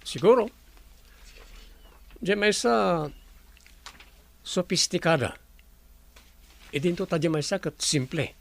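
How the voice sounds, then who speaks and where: Courteous young boss, lightly high-pitched. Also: male voice, expressive, takes it slowly, creaky, long pauses, full character voice. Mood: intrigued. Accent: Filipino, Ilocano. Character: courteous young resort owner, smitten boss. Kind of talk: Ilocano radio drama acting.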